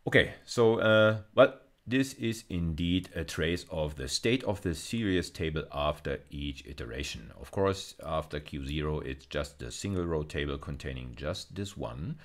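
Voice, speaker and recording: A man's voice: very deep voice, animated, moderate pace, few pauses. A middle-aged man giving an explanatory screencast lecture in an informal way, thinking aloud and correcting himself. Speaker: university lecturer. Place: indoors, recorded screencast with camera inset.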